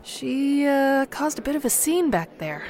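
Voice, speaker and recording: An actress playing a weary, loyal traveling companion in a radio drama, with a dry, casual, understated delivery. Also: female voice, high voice, big pitch swings, unhurried, darkish tone, exaggerated voice. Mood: uneasy, subdued.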